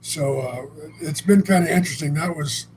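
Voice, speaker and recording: A man co-hosting a relaxed chat, speaking in a fairly high register. He tells stories in a blunt, salty, meandering way and often restarts his sentences. A faint noise sits under his voice. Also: moderate pace. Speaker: co-host. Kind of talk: casual conversational storytelling.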